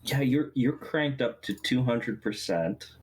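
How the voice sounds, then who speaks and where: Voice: medium pitch, expressive, measured pace, husky. A friend on a voice call, teasing and talking through audio settings. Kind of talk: casual chat.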